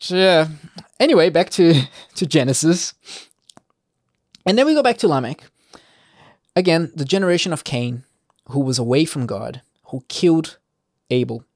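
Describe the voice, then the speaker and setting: A man's voice: on the high side, animated, moderate pace, long pauses, dry recording, studio quality. A man hosting an informal Bible reading, commenting off the cuff in a conversational, digressing way and putting rhetorical questions to the listener.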